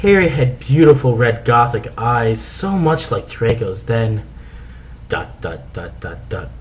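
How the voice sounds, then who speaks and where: A man's voice: mid-range voice, animated, a little slow, slight background noise, poor audio. A man narrating fan fiction as a comic dramatic reading, theatrical and mock-serious.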